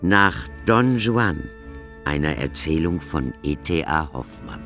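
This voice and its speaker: A man announcing radio play titles from a script in a deliberate way. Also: a bass voice, animated, a bit bright, faint music behind.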